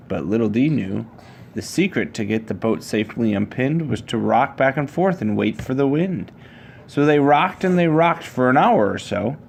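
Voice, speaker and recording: Male reader, dad at storytime. Measured, rhyming picture-book delivery, medium pitch, slightly noisy home recording. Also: vocal fry, darkish tone. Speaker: father reading to his child. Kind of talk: reading a children's picture book aloud.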